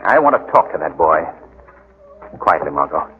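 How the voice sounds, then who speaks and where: A man playing a calm, decisive gentleman sleuth with urgent authority, in 1940s radio mystery style on an old recording. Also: very deep voice, moderate pace, lo-fi sound, a little hiss or hum. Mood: resolute, urgent.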